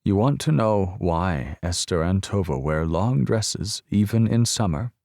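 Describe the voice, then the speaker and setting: A man voicing an elderly Polish-born grandmother, explaining slowly and softly to a child in an audiobook. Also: deep voice, animated, creaky, dry recording, studio quality, full character voice. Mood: gentle. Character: elderly woman, ghost-seeing Polish-born grandmother, gentle.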